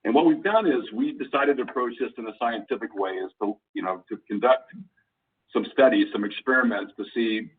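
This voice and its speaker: A man giving an informal slide presentation over a conference call line, explaining things in a halting, deliberate way. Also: mid-range voice, husky, recorded from a distance, phone-quality sound. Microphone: conference call phone line.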